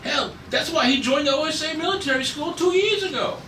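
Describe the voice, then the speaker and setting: A man's voice: very high voice, animated, brisk, pushed and bright, exaggerated voice. A man reading an eager, outspoken space ranger sidekick at a script table read, with a brash, informal manner. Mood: indignant. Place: room at a group table read. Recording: echoey noisy room, far from mic.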